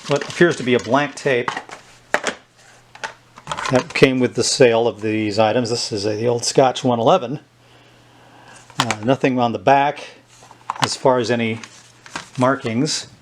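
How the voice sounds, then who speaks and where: A man with a medium-pitched voice talks through a piece of equipment in a casual, unscripted way. He thinks aloud and pauses as he goes. Faint background noise and small clicks sit behind him. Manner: halting, thinking aloud while handling equipment. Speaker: host demonstrating a vintage tape recorder. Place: indoors at a tabletop.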